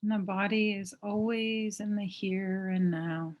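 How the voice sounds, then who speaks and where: A woman teaching mindfulness, leading a guided meditation in a gentle, unhurried manner with long pauses. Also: medium pitch, fairly even intonation, muffled recording.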